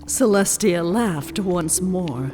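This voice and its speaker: A woman's voice: on the low side, takes it slowly, noisy background. A woman narrating a story from a script.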